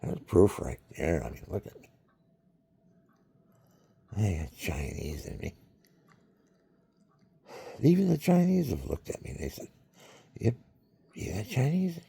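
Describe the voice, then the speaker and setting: Older man, gravelly husky voice, slow unhurried monologue, lively swings in pitch, chatty to viewers. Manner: chatty, talks to the viewers, acts out quoted voices. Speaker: storyteller. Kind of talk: rambling personal monologue.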